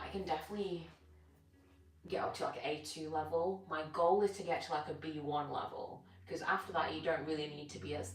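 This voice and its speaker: A British woman whose first tongue was Gujarati, chatting in a rambling, informal way with live viewers as a fitness streamer while stretching. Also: deep voice. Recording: roomy, distant mic with music behind. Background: music.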